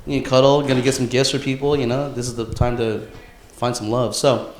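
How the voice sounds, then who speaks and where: A man's voice: mid-range voice, level tone, fairly quick, a little mellow, slight background noise. A young man co-hosting a podcast with friends, chatting casually and crudely in slangy, bro-heavy talk. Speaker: podcast co-host. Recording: roomy, echoing indoor sound.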